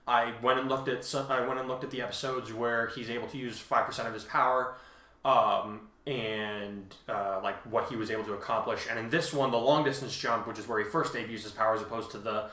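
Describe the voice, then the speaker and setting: A man casually explaining as a co-host, halting but emphatic as he talks through his points. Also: mid-range voice, fairly even intonation, pushed and bright. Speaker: co-host and fan fiction author responding to reviews. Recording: roomy, echoing sound.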